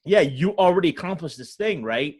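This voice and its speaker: A man's voice: fairly high pitch, lively intonation, brisk, darkish tone, breathy edge. A man from Ohio hosting a casual conversation, loose and talkative, often checking in with "right?". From United States, Ohio. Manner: loose, filler-heavy, asks "right?" a lot. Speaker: podcast host.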